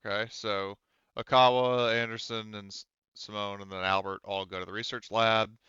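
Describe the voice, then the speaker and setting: A man's voice: on the low side, level tone, normal speed, bright, forceful tone, clear, resonant tone, dry recording, highs cut off. A man working as game master, improvising his narration in a hesitant, conversational way full of hedges.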